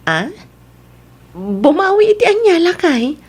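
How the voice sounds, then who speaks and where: A woman with a Filipino accent acting a trusting, gentle wife who questions things, in an audio drama. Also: very high-pitched, very animated, slow, exaggerated voice. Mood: curious. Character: trusting, gentle young wife growing suspicious.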